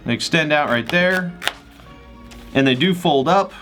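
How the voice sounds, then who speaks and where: A man's voice: on the high side, measured pace, music in the background. A man hosting a gadget unboxing video, talking casually and enthusiastically to the camera in an informal, chatty way. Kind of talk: casual product review to camera.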